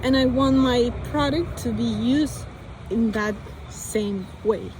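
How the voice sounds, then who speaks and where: A young Colombian woman with a Spanish accent, talking through her ideas out loud, hesitating and restarting her sentences. Her voice sits fairly high. Faint city traffic hums behind her outdoors. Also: takes it slowly. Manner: hesitant, many restarts and fillers. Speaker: vlogger. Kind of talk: thinking aloud monologue.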